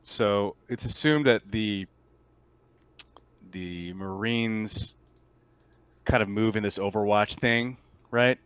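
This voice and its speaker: A man's voice: fairly low pitch, slow, pauses often, a bit bright, clear, resonant tone, dry recording. A man talking casually as game master during a tabletop roleplaying session. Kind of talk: casual gaming talk.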